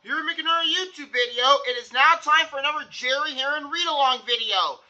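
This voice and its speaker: A man's voice: extremely high pitch, quick pace, pushed and bright, highs cut off. A young man, hosting a read-along video in an enthusiastic, repetitive way. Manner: enthusiastic, repeats himself. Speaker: video host reading aloud.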